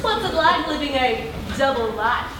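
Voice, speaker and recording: A woman acting a chirpy TV reporter who presents a superhero documentary, projected and deliberate in a comic stage style. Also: high-pitched, animated. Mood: bright, presenting. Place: live stage performance in a hall. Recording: very echoey hall, distant mic, audience noise.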